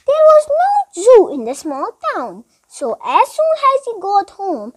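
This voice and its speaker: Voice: very high-pitched, huge pitch swings, unhurried. A child of about eight reading a storybook aloud as a school recitation, with emphatic delivery and small slips.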